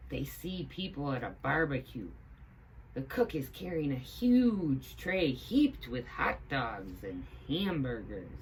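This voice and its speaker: A woman's voice: rumbling low, very animated, takes it slowly, slight background noise, poor audio. A woman teaching assistant reading a picture book aloud expressively, with teacherly asides.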